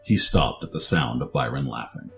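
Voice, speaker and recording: A man's voice: extremely low pitch, lively intonation, normal speed, gravelly, a bit bright, soft background music. A man reading scripted story narration for an audio drama.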